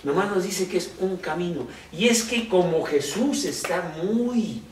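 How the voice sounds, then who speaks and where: Slow lively preacher guy, asks lots of questions, sounds far from the mic in an echoey room. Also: sits high. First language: Spanish. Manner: slow, conversational, with rhetorical questions. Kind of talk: sermon. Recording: roomy echo, off-mic, faint background noise.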